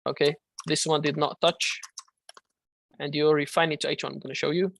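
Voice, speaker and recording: A man runs a live online trading lesson in a loose, coaching way, answering chat questions as they come. His voice sits fairly high with a gravelly edge. The sound is close and dry. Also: flat delivery, a little fast, fairly bright tone, no echo. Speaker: trading instructor.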